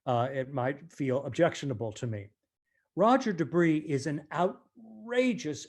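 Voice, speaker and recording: A man from the United States with a fairly high voice talks as an interview guest. He speaks in a reflective, deliberate way and puts weight on key words as he recalls his work as an actor. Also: darkish tone.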